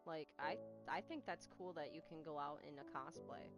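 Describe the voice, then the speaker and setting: A young woman streaming a game, her voice sitting low and a little heavy. Spanish was her first language, and she talks with a slight stutter. She rambles loosely between viewers and gameplay, with clear game music underneath. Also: moderate pace. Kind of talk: casual chat while playing a game. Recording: game music playing behind.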